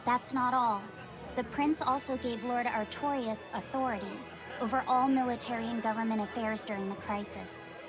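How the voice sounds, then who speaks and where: A woman's voice: fairly high pitch, steady tone, moderate pace, lightly acted. A woman voicing an earnest, polite young boy in a video game, studious and precise. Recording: music behind the voice.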